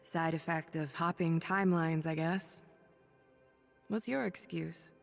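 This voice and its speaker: A woman voicing a weary, noble superhero thunder goddess for a video game, confiding in measured, breathy speech. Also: sits low, big-room sound, soft background music. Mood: dry, tired. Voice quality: breathy, husky. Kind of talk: video game voice acting, dramatic dialogue and audio-log monologue.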